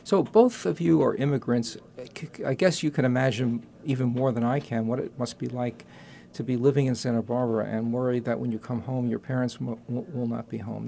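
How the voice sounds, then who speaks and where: Male interview host, gravelly, hesitant delivery with lots of fillers. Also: mid-range voice, mellow. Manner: halting, many fillers and restarts. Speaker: talk show host. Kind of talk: casual interview.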